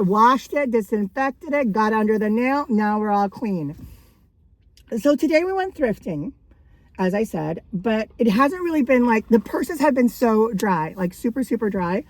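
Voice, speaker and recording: A woman vlogging casually about her thrift finds, her voice raspy from days of coughing, rambling and laughing between thoughts. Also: on the high side, animated, moderate pace, dry recording, poor audio. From Canada. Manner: chatty and rambling, frequent likes and laughs. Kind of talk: casual thrift haul vlog.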